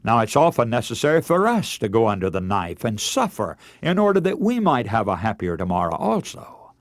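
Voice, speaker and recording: A man preaching a radio sermon in earnest, measured oratory, moving between scripture and exhortation. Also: lowish voice, sing-song, no room sound, clean studio sound.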